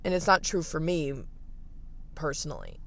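A teenage man with a raspy, gravelly voice that sits a little high for his age. He talks candidly and reflectively, circling back with fillers and restarts. It sounds like a casual phone livestream. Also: fairly even intonation, normal speed, fairly bright tone. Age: late teens. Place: at the beach.

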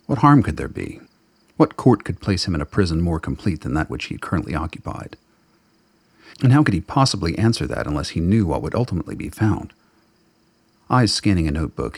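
A man narrates a radio drama in a very low voice with plenty of gravel in it. The delivery is brooding and literary, and the sound is close and dry, though the words come out somewhat husky. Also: dry recording. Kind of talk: dramatic scripted narration of fiction.

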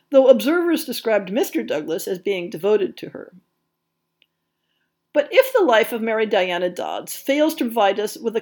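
Female podcast narrator for history essays: highish pitch, strongly varied melody, articulate storytelling with a wry touch. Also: a little mellow. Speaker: podcast host.

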